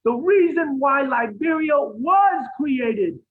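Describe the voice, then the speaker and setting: A Haitian-born man giving an impassioned lecture over a video call, asking rhetorical questions and rising to near shouts. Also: very high-pitched, animated, slow. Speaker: guest speaker. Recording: phone-like line from an online event.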